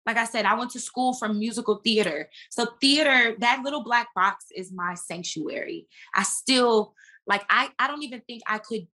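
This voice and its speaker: A woman with a bright, forceful voice speaks as a guest in a casual podcast chat. She is an actress who tells things in a slangy, emphatic way, with plenty of animation. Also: a little high, normal speed. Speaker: guest, stage and screen actress.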